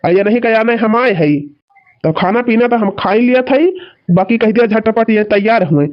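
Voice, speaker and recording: A man's voice: high-pitched, moderate pace, lightly acted. A man playing a complaining young village farmer and son-in-law in a cartoon comedy, with an Awadhi accent and an insistent, plaintive manner. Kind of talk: rural cartoon comedy dialogue. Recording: dull lo-fi sound.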